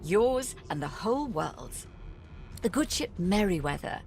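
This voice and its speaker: A woman's voice: medium pitch, big pitch swings, measured pace, a little mellow. A woman playing a smug, condescending jailer in a video game, teasing and patronising with mock sweetness. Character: smug, condescending female prison-ship magister, mockingly sweet jailer.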